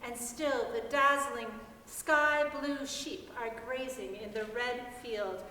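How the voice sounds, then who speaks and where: A woman giving an expressive, deliberate dramatic reading of a literary story. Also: a little high. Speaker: reader in a church's dramatic reading. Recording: very echoey hall, distant mic, faint noise.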